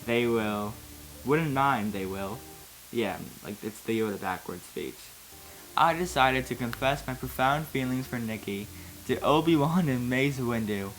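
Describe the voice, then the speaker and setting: A man's voice: medium pitch, animated, unhurried, a bit bright, low-quality recording. A man giving a casual, comedic dramatic reading of fan fiction, breaking off into amused, wry asides. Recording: music behind the voice, fluctuating quality. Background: music.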